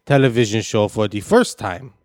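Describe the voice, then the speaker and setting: A man's voice: fairly low pitch, moderate pace, a little mellow, dry recording, studio quality, lightly acted. A man playing a washed-up, self-deluded foreign actor with a foreign accent, pleading and self-important in an improvised comedy interview. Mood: boastful, insistent. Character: washed-up, self-deluded male foreign actor nursing faded showbiz dreams. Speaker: comedy podcast guest.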